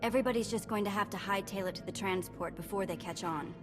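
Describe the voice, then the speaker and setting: A woman's voice: mid-range voice, fast talker, close to an everyday voice. A woman playing a brash young thief in a video game, with blunt, slangy, dry delivery. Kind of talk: cinematic video game voice acting. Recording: music behind.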